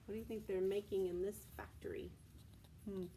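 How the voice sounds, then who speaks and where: A woman's voice: medium pitch, steady tone, normal speed, mellow, a little hiss or hum. An American woman, a preschool teacher from Texas, reads aloud to young children in simple phrases, stopping to explain and ask questions. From Texas, United States.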